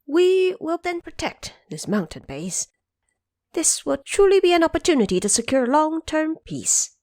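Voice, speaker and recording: Elderly monkey counselors, deferential, pitched high, animated, a woman doing them. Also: normal speed, no echo, clean studio sound. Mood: advising. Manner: respectful, advising the king.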